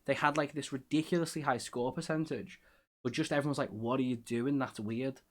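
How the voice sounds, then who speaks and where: Podcast host, Scouse man, mid-pitched, chatty and rambling, self-mocking. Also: steady tone, fairly quick. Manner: rambling, self-deprecating, lots of fillers. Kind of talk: casual chat.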